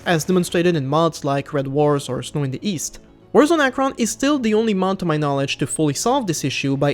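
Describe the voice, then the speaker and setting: Gaming reviewer for scripted voice-over: casual, enthusiastic, highly varied intonation, medium pitch. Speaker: gaming video creator and reviewer.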